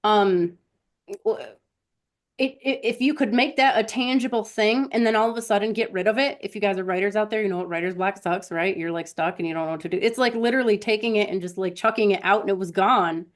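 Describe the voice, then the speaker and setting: A woman's voice: mid-range voice, brisk. A woman hosting a live stream, telling personal stories in a casual, conversational way and talking directly to her listeners.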